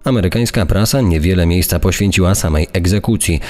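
Man, deep voice, formal, factual, scripted documentary reading. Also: brisk, darkish tone, faint music behind. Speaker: narrator.

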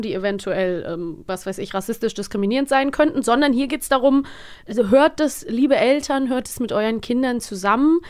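A woman co-hosting a podcast in casual chat, engaged and quick to affirm her partner. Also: high-pitched. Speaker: podcast co-host.

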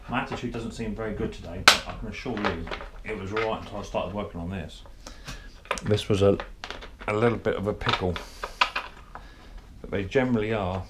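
A man, casually talking through a chainsaw brake repair in his workshop, chatty and a bit self-deprecating. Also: lowish voice. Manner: chatty, self-deprecating, thinks aloud while working. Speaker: small engine repair video host. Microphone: head-mounted camera. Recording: clear background noise and tool clicks.

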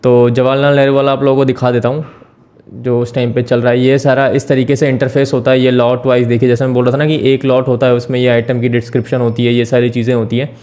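A man talking through a screen-share tutorial as the channel host, explaining step by step with frequent filler pauses. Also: mid-range voice, a little mellow.

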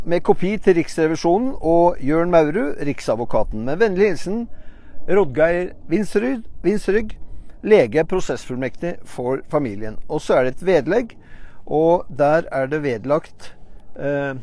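A man's voice: on the high side, expressive, dark tone. Earnest older man outdoors. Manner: earnest, questioning, with hesitant fillers. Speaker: channel host. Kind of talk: reading a letter, then commentary to camera. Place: outdoors on a rocky mountaintop. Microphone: lapel mic.

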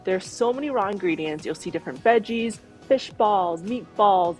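A woman's voice: medium pitch, expressive, normal speed, a little mellow. A woman narrating a travel vlog voice-over in an informative, upbeat tour-guide manner. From Taiwan. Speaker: travel vlogger. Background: faint background music.

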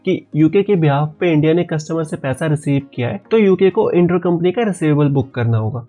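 A man's voice: fairly high pitch, mellow. A man in his twenties with an Indian accent, giving an instructional explainer as a tutor, often asking questions and answering them himself. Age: 20s. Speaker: accounting tutor and channel host. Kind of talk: explainer lesson to camera with scripted examples. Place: small dim bedroom, indoors. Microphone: lapel mic. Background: background music.

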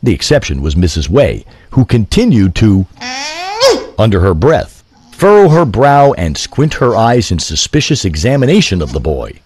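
A man narrates a literary story with deliberate phrasing. His voice is on the low side and rather rough. The intonation is lively, and the recording is dry.

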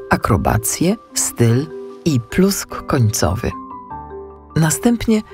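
A man narrating a children's story as an audiobook, with expressive scripted delivery. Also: mid-range voice.

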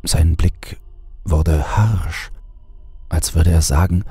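Very deep, gravelly male horror narrator. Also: steady tone, slow, dark tone, no room sound, soft background music. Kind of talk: scripted horror story narration.

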